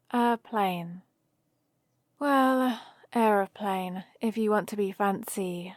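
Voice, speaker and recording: Woman acting a slow-talking, playful fantasy heroine. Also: medium pitch, darkish tone, dry recording, studio quality, close to an everyday voice. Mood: patiently explaining. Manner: casual, playful, explanatory rambling. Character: friendly human heroine from Earth, teasing and patient. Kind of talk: ASMR roleplay, one-sided conversation with the listener.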